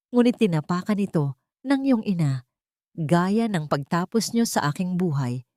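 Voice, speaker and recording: A woman with a Filipino accent voicing a wronged wife who is weary, calm and coldly resolute in an audiobook drama. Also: lowish voice, no echo, studio quality, close to an everyday voice. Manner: gentle, deliberate.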